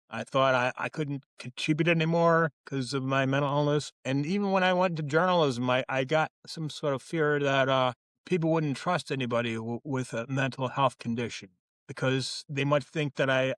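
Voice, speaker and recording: A man's voice: mid-range voice, a bit bright, no room sound. A man, a journalist, talking earnestly and reflectively in a conversational interview, often restarting his sentences.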